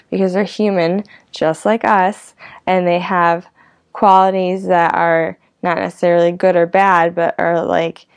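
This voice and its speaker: A woman with a fairly low voice and a strong vocal fry, recorded close and dry. She rambles casually like a vlogger sharing reflections, looping through asides and peppering her sentences with "like" and "you know". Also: a little mellow, no echo. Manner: informal, meandering, filler-heavy with frequent "like" and "you know". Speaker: host of her own online show.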